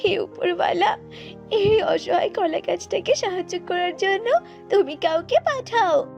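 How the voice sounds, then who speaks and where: A woman voicing a helpless, suffering banana tree in a cartoon, plaintive and begging in drawn-out phrases. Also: extremely high pitch, vocal fry, lo-fi sound, soft background music, voice pushed up, exaggerated voice. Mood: pained, praying.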